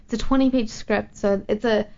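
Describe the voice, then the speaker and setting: A woman's voice: medium pitch, fairly even intonation, normal speed, vocal fry, darkish tone. A young adult woman in a casual chat as a guest, blunt and giggly, swearing freely. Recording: muffled lo-fi recording.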